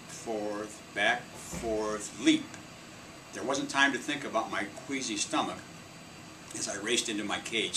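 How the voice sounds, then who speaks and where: A man reading a children's story aloud in an expressive storytelling style. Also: lowish voice. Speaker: storybook reader. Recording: distant mic, clear air-conditioning hum.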